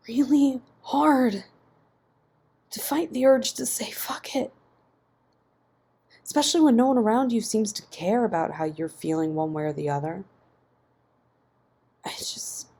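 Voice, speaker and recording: A man's voice: sits high, creaky, dark tone, breathy edge, pitched above the performer's own voice, heavily acted. A woman voicing a desperate, guilt-ridden man who pleads with choked intensity in a narrated story.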